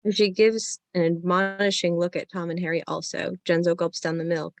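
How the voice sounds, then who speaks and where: A woman's voice: lowish voice, little pitch movement, normal speed, vocal fry, no room sound. Close, dry woman reading screenplay directions. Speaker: stage-direction narrator. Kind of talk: scripted screenplay narration.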